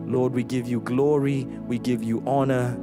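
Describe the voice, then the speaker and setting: Slow, fervent man praying. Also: medium pitch, flat delivery. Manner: fervent, earnest pleading. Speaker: worship leader. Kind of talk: spoken prayer over music. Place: church stage studio, indoors. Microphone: handheld mic. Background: soft keyboard music.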